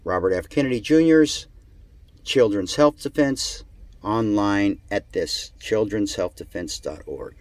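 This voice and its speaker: A man reading an article aloud in a sarcastic, theatrical manner, with drawn-out emphasis and muttered asides. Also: on the low side, animated, darkish tone, no echo. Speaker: amateur reader of an article.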